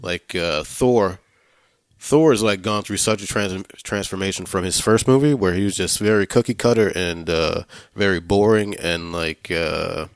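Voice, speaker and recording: Very low-pitched man, halting film talk. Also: clear, resonant tone, no echo, clean studio sound. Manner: halting, searching for words, casual swearing. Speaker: film podcast host. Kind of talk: casual film review discussion.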